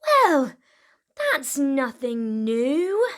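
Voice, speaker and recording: A woman's voice: high-pitched, big pitch swings, extremely slow, voice pushed up, full character voice. A woman voicing a rowdy, hard-to-impress Viking crew in a storybook, with stretched-out, called-out words. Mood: dismissive. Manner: loud, stretched, sing-out delivery.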